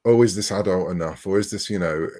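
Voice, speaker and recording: A man's voice: deep voice, fast, a little mellow. A man in his forties speaking conversationally as a writer being interviewed. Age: 40s. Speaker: interviewed writer of comics and novels.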